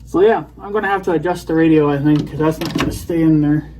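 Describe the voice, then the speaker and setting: Male vlogger, slightly higher-pitched voice, casual hands-on demo, thinking out loud. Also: fast talker, background noise. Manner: rambling, thinking aloud. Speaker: video blogger. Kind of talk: casual hands-on gadget demonstration.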